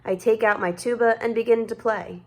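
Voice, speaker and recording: A woman reading a children's story aloud in an expressive way, stretching words for dramatic emphasis. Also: fairly high pitch, normal speed. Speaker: storybook reader.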